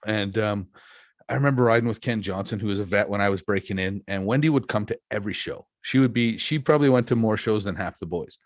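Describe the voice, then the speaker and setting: A man's voice: lowish voice, fast, no echo. A man in his forties hosting a podcast, chatting casually in a jokey, self-deprecating, rambling way. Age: 40s. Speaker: podcast host, wrestler. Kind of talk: casual chat.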